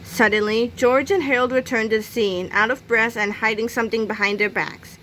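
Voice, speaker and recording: A woman reading a children's book aloud in an expressive storytelling style. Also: fairly high pitch, a little fast, a little hiss or hum, low-quality recording. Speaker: storybook reader.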